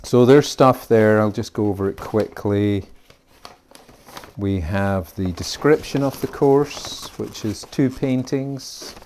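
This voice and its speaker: A man's voice: fairly low pitch, slow pace, darkish tone, a little hiss or hum. A man with a Scottish accent, an art teacher giving a friendly, rambling introduction to his beginners class. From Scotland. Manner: friendly, rambling, with fillers and asides.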